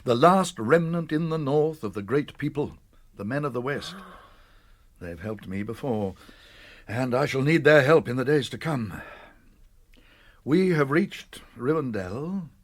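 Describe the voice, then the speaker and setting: Old british wizard man, radio play, wise n kindly, serious measured way of talking, quietly commanding. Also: mid-range voice, lively intonation, no echo. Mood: solemn, grave. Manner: measured, weighty, authoritative delivery. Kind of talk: radio drama acting.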